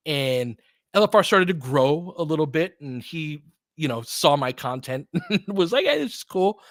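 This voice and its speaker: A podcast host chatting casually with viewers, telling a rambling story full of fillers and restarts. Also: male voice, mid-range voice, a bit bright, no room sound, clean studio sound. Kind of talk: casual livestream chat answering viewers.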